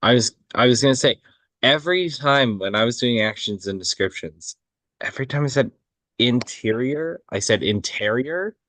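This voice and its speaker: A man's voice: mid-range voice, lively intonation, normal speed, a bit bright, no echo. A man chatting casually about films and scripts as a participant in a table read.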